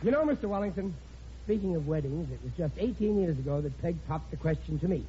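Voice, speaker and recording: A high-pitched, blustering American dad of middle age acted out by a man, folksy and loose with his grammar. It has the style of a 1950s radio comedy, on a muffled old recording with faint noise. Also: animated, fast talker, dark tone, close to an everyday voice. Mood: genial, relieved. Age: middle-aged. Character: blustering working-class American family father, proud, plain-spoken. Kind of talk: 1950s radio sitcom acting.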